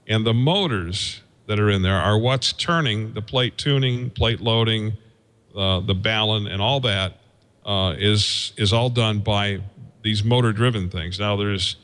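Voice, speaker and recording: A man giving an informal technical explanation as a guest engineer, in a halting, folksy way, thinking aloud as he goes. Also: on the low side, a bit bright. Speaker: guest, radio station engineer.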